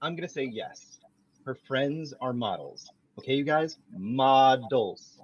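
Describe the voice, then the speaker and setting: A man reading the part of an eager young man pushing for a new roommate at a casual table read, with a husky voice. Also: medium pitch, animated, takes it slowly, lo-fi sound. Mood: pleading. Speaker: podcast host reading a part.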